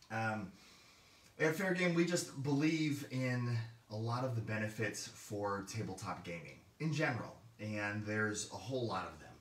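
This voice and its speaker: A hoarse man hosting a casual livestream for a game store, rambling with many fillers and restarts. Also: medium pitch, fairly bright tone, recorded from a distance. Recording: roomy, mic set back.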